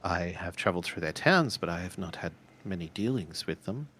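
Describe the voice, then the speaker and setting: A man's voice: low-pitched, animated, brisk, pushed and bright. A man playing a tabletop roleplaying game, improvising dialogue in a hesitant, questioning way as he thinks aloud.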